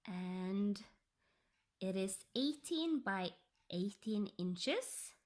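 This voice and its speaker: A Norwegian woman, English her second language, chatting softly about her cross-stitch project and often dropping into a whisper. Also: mid-range voice, expressive. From Norway. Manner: slow, soft-spoken, drifting into whispers. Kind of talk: casual tutorial chat.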